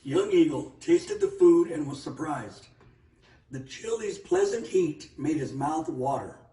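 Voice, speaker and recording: A man narrating a children's story in an animated read-aloud style. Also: a little high, a little slow. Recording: roomy echo, distant microphone.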